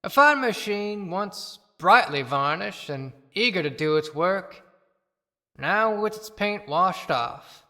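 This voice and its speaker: A woman playing a dead farmer who reflects deliberately and ruefully on a wasted life in an audio play. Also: fairly low pitch, animated, pushed and bright, exaggerated voice. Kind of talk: dramatic monologue in an audio play. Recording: long echoing hall reverb.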